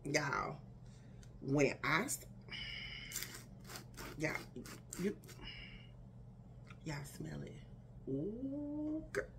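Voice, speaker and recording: A woman talks casually to camera from her living room, with a lower voice and an unhurried delivery. She addresses her viewers directly in a chatty, animated way. Faint background hiss sits under the recording. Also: vocal fry. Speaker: vlogger. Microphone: camera in front at desk distance.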